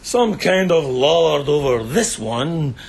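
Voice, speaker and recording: An actor playing a sadistic bandit chieftain in a radio drama, theatrical and mocking, relishing every word with menace. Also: male voice, on the high side, animated, takes it slowly, creaky, exaggerated voice. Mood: sneering, taunting. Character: gloating, sadistic bandit chieftain taunting bound captives.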